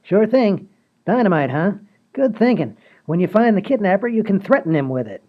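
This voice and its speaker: A man's voice: sits high, very animated. A folksy, oblivious ex-cop handyman, played by a man rambles through his yarns in a comedy radio play. The audio is slightly dull and lo-fi. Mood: rambling, oblivious. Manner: rambling, folksy, unhurried storytelling.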